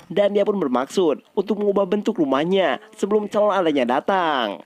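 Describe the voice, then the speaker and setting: A man's voice: high-pitched, animated, fairly quick. A man narrates a story recap. His delivery is colloquial and conversational, like casual scripted storytelling for an online video.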